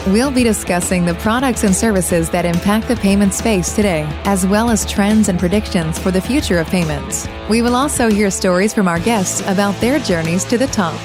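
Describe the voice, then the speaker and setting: A woman announces a podcast in scripted narration. Her voice sits at an average female pitch, and music plays clearly behind her. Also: moderate pace, hardly any pauses.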